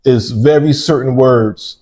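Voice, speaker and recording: A man in his forties, a real estate developer, telling his story in a relaxed interview and often checking in with "right?". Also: mid-range voice, slow. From United States. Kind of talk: casual interview.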